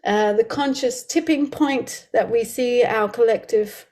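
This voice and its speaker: A woman's voice: a little high, a little slow, a little mellow. A woman speaking as a podcast host, introducing and chatting casually with a guest.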